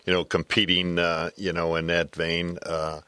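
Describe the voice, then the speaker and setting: An older American man giving casual baseball analysis as a former coach, in halting, repeating phrases. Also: sits low, monotone, sharp tone, smooth, dry recording.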